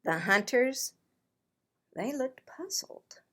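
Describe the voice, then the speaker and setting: Woman, high voice, slow pace, expressive children's storybook reading, playful and dramatic. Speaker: storybook read-aloud host.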